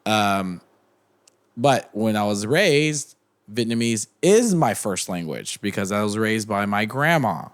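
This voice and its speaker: Low-voiced man rambling with restarts. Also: very animated, takes it slowly. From United States. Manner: rambling, with many restarts and fillers. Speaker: podcast guest. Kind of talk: casual chat.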